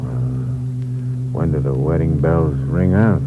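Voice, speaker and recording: An actor playing a cold, hard-boiled impostor who narrates the story in a terse, clipped manner, in a 1950s radio drama. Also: male voice, sits low, lively intonation, slow pace, creaky, heavily acted. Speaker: narrator and lead. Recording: muffled old radio recording with hiss.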